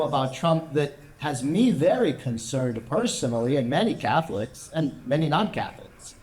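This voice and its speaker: An American man gives a measured, explanatory talk to a gathering, pausing now and then with small fillers. His voice sits a little higher than most men's and rings through an echoey room. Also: darkish tone. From United States. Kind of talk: public talk with discussion.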